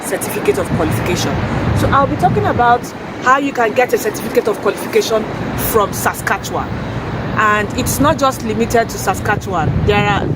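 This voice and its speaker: A hoarse woman vlogs from a city street, her voice strained by a sore throat. She explains step by step in an earnest, halting way, often restarting her sentences. She sits far from the mic, with loud traffic and street noise all around. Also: medium pitch, lively intonation. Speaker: vlogger. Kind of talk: casual informational vlog. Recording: noisy street recording, distant from mic. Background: traffic and urban ambience.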